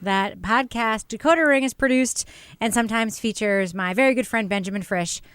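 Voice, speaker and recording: A woman's voice: a little high, moderate pace, fairly bright tone, smooth, no echo. A woman hosting a casual podcast panel and chatting with the others. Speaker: podcast host.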